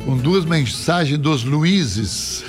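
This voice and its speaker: A man's voice: on the high side, expressive, very slow, over music. A man with a Rio Grande do Sul accent hosting a casual radio show, reading listener messages in a jokey, chatty way and laughing easily. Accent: Rio Grande do Sul Portuguese. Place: radio studio.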